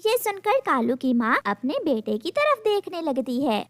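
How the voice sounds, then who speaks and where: A woman's voice: high voice, very animated, normal speed, dry recording. A woman narrator reading a scripted cartoon story.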